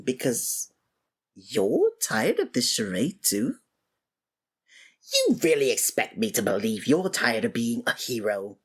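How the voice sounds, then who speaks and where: A woman with an unusually deep, breathy voice delivers a scripted villain roleplay. Her pitch leaps wildly between low grumbles and high exclamations. The delivery is theatrical and exasperated. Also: big pitch swings, slow pace. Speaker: ASMR voice actor playing a villain.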